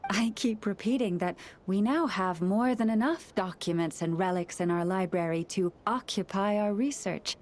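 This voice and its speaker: A woman playing a gentle, courteous young library caretaker in a video game, speaking formally and patiently in a soft manner. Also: mid-range voice, moderate pace, few pauses, slight background noise, natural voice. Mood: patient, resigned. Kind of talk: video game cutscene acting. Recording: music under the voice.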